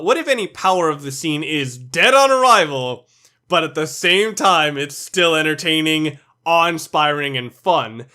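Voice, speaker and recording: A man's voice: very high-pitched, sharp tone. A man delivering scripted, opinionated video commentary as a countdown reviewer, blunt and sprinkled with swearing.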